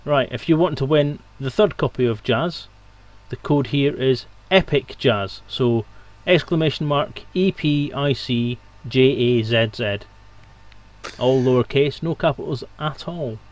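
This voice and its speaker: A man with a mid-pitched voice hosts a livestream. He talks in a casual, conversational way, addressing both his co-guests and the viewers. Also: a little slow. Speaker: stream host. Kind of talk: casual stream chat.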